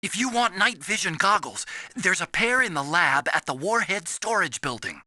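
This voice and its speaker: Casting: timid, kindly young scientist in a video game. Sharp, lively, dry close recording, earnest delivery. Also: male voice, sits high, moderate pace, no room sound, exaggerated voice. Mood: hesitant, helpful. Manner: earnest, anxious, explanatory. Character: earnest, timid young male scientist, soft-hearted and nerdy.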